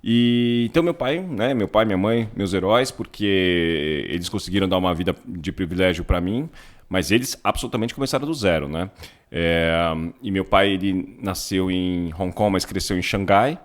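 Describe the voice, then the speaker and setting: A man's voice: deep voice, moderate pace. A man in his forties from São Paulo with a São Paulo accent, a company CEO chatting relaxedly as an interview guest, often adding tag questions. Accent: São Paulo Portuguese. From São Paulo, Brazil. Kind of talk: casual interview storytelling.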